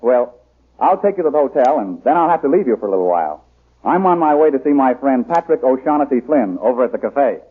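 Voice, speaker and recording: An actor playing a courteous, assured adventurer hero in an old radio drama. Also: male voice, mid-range voice, very animated, fast talker, breathy edge. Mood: courteous, helpful. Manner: polite, assured. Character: courteous, capable male adventurer hero, calm and protective. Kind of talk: 1930s radio drama acting. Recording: tinny, noisy old radio recording.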